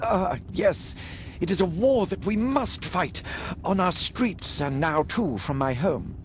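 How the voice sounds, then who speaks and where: Highish genteel sickly lord. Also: male voice, animated, slow pace, a little hiss or hum. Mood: courteous, earnest. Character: courteous, earnest, sickly aristocratic philanthropist. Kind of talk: video game voice acting.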